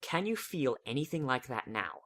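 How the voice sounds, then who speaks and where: A reader acts out an earnest young female unicorn from a fan-fiction drama. Her delivery is theatrical and intense, swinging widely in pitch with strong emphasis. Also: sing-song, moderate pace, a bit bright. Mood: anxious, disbelieving. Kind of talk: first-person dramatic fan fiction reading.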